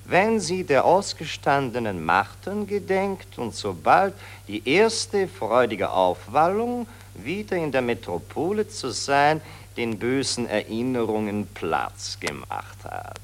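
An actor playing a pompous, bullying newspaper editor in a 1940s German radio play. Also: male voice, medium pitch, wildly swooping pitch, slow pace, full character voice. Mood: pompous dictating. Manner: pompous dictation switching to hectoring threats. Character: bullying, insinuating male Viennese newspaper editor dictating his story.

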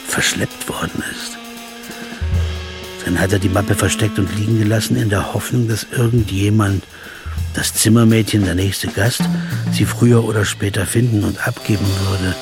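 An actor playing an earnest jazz pianist who questions people and hesitates when he's worried. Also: fairly low pitch. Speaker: narrator and protagonist. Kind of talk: audiobook drama acting.

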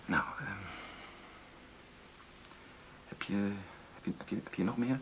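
An actor in a radio drama playing an earnest, conciliatory middle-aged husband who stammers and searches for words in a creaky voice. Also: male voice, on the low side, a little fast, slight background noise, close to an everyday voice, poor audio. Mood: hurt, hesitant. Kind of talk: naturalistic radio drama dialogue.

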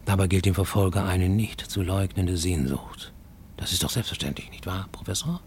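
An actor in a German radio play voicing a haunted middle-aged Japanese fugitive, formal and intense, sometimes dropping to a near whisper. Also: male voice, low voice, steady tone, moderate pace, a little mellow, a little hiss or hum, lightly acted. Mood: brooding, paranoid.

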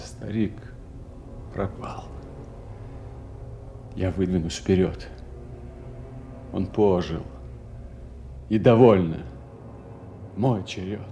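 Scheming young villain in a radio play, really deep slow voice, cold, music behind. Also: male voice, heavily acted. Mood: cold triumph. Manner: deliberate, calculating. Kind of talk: theatrical villain soliloquy, radio drama.

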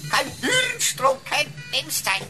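An actress playing a cheeky, sardonic supercomputer sidekick in a radio drama, flippant and mock-deferential. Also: female voice, high-pitched, wildly swooping pitch, unhurried, over loud music, exaggerated voice.

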